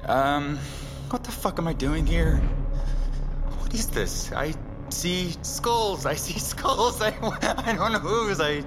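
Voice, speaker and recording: An actor playing a shaken man in an audio drama, haltingly muttering and swearing as he dictates what he observes. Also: fairly high pitch, lively intonation, music in the background, rough recording. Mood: rattled, dismayed. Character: shaken man surveying a grisly secret collection. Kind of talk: audio fiction, dictated first-person observations.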